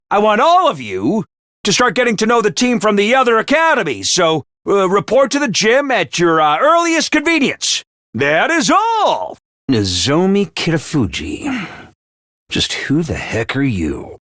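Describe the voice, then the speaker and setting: An actor playing a brisk, scheming male academy official who is theatrical and cold, swinging between booming orders and hushed asides. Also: no echo, heavily acted. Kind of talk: video game voice lines. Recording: dry studio voice recording.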